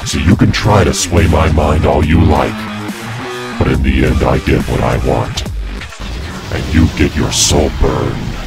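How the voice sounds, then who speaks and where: A man voicing a gruff, threatening supernatural skeletal bounty hunter in an amateur audio drama, with a deep gravelly voice. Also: unhurried, full character voice. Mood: menacing. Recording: music behind the voice.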